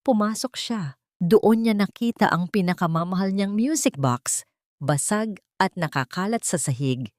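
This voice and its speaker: A woman's voice: mid-range voice, animated, unhurried, darkish tone, dry recording, studio quality. A woman reading a scripted dramatic story as narrator, with emotive delivery.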